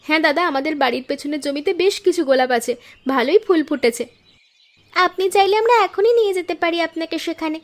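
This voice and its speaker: A woman's voice: very high-pitched, fast talker. A woman voicing earnest, hopeful young flower-selling sisters in a moral-story cartoon, speaking politely.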